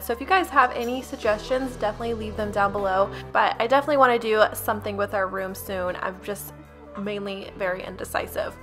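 A woman's voice: mid-range voice, bright, forceful tone. A young woman talking casually over her cleaning footage, chatty and upbeat, speaking straight to her viewers. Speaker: lifestyle vlogger.

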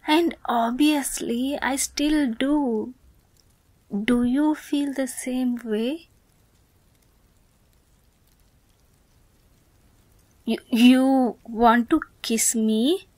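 A woman playing a teasing, affectionate young woman in love in an ASMR romance roleplay, speaking softly and playfully, at times breathily. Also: sits high, fairly even intonation, extremely slow, no echo, full character voice. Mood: tender, earnest.

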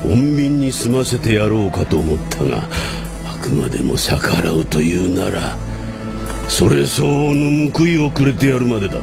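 Menacing older mafia boss for audio drama: very low, swooping lines, amused and ominous. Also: male voice, very animated, music in the background. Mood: amused menace. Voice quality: gravelly. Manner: slow, ominous, savoring his words. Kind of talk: Japanese drama CD acting.

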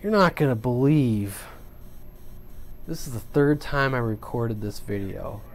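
A man's voice: medium pitch, lively intonation, measured pace. A middle-aged man giving a casual, off-the-cuff hands-on tutorial at his home radio workbench, folksy and rambling. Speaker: CB radio channel host. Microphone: desk mic off camera.

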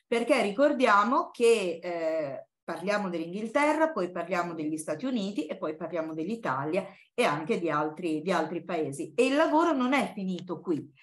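A woman's voice: fairly low pitch, sing-song, moderate pace, few pauses. A woman in her sixties introduces a guest speaker at an informal lecture in a classroom, digressing and thinking aloud as she goes. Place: university classroom.

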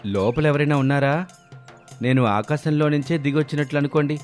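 Man playing a boastful smooth-talking swindler. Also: fairly high pitch, fairly even intonation, a little fast, a little mellow, close to an everyday voice. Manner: boastful, persuasive salesman talk. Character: smooth-talking male swindler posing as heavenly weaver. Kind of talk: scripted story dialogue. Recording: background music behind the voice.

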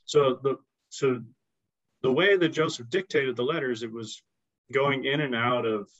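A middle-aged man on a video call giving a measured explanation of history, pausing and restarting phrases. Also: mid-range voice, a bit bright. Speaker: historian guest. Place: indoor office with bookshelves. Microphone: video call computer mic. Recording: dull lo-fi video call audio.

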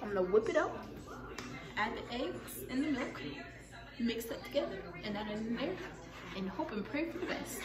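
A young woman talking casually to the camera while baking, unsure of herself and thinking out loud, in a home kitchen. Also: on the high side, expressive, moderate pace, pauses often, a crowd in the background. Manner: unsure, self-mocking, thinking aloud. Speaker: vlogger. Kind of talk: casual baking vlog narration. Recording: roomy kitchen echo with loud music. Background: pop music playing.

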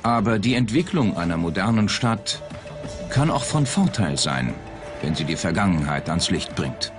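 A man's voice: deep voice, sing-song, moderate pace. A man reading scripted narration as a documentary narrator. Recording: loud music bed behind the voice. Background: background music.

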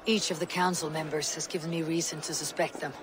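An actress playing a stern, determined young Viking warrior woman in a video game, with a husky voice. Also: sits low, steady tone, fast talker, noisy background, lightly acted. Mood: serious. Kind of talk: video game dialogue acting.

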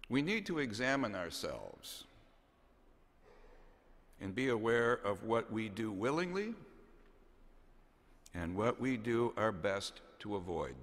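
Slow, solemn male bishop in echoing cathedral. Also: lowish voice, sing-song, a bit bright. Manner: measured, solemn, deliberate phrasing with pauses. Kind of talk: homily, read from notes. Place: large cathedral, at the pulpit. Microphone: gooseneck pulpit microphone. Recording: long cathedral reverb.

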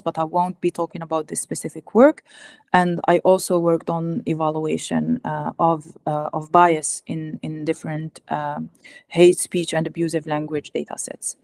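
A young woman whose first language is Algerian Arabic gives an academic research talk over a video call, pausing often with fillers and restarts. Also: sits low, level tone, darkish tone, no room sound, clean studio sound. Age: young adult. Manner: hesitant, many fillers and restarts. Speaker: guest speaker presenting research. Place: home room, indoors. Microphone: video call webcam.

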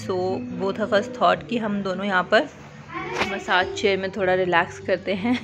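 A woman's voice: mid-range voice, steady tone, moderate pace. A woman in her thirties giving a chatty, enthusiastic vlog voice-over, mixing in English phrases. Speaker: vlogger. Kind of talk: casual vlog narration, Hindi mixed with English.

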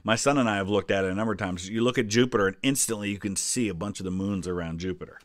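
Low-voiced, chatty American man explaining history. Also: racing. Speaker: history video host. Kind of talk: casual reaction commentary, explaining history.

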